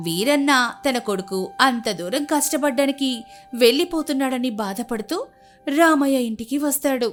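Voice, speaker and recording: A woman's voice: high-pitched, moderate pace, soft background music. A woman reading scripted folk-tale narration as the storyteller.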